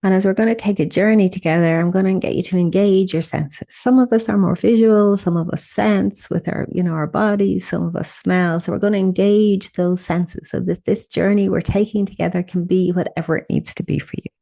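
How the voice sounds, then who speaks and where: Woman doing a guided meditation, soft mellow tone, bit of creaky fry, slow gentle pauses. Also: medium pitch, steady tone. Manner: soothing, unhurried, gently instructing with pauses.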